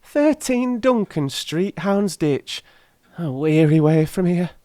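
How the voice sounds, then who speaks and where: A man's voice: fairly high pitch, expressive, slow pace, dark tone, no echo, studio quality, voice pushed up. A man voicing a garrulous, wheedling old working-class London woman who mumbles and rambles in an audiobook. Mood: guarded, garrulous. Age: older. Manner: mumbling, rambling, effusively grateful.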